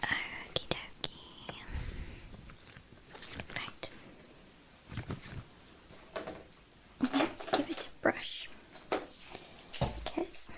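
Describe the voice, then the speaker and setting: Actress as snobbish British vampire kidnapper for ASMR: female, light high pitch, soft breathy whisper, slow and condescending. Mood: haughty, amused. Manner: slow, disdainful, dryly teasing.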